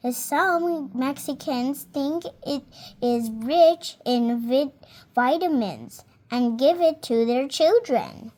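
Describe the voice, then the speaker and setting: A young reader slowly and carefully reading a picture book aloud, now and then stumbling on a word and fixing it. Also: female voice, high-pitched, animated, a little mellow. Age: child. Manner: slow careful reading with small slips and self-corrections.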